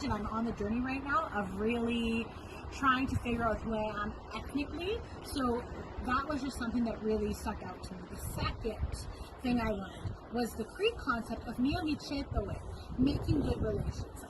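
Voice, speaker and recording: A woman's voice: a little high, background noise. A young woman giving a prepared, personal presentation as a student.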